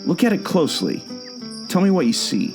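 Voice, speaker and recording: A man's voice: a little high, sing-song, brisk, music in the background. A man playing a knowing, patient guide who tells park legends in a scripted audio drama. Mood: knowing, mysterious. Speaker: storytelling guide.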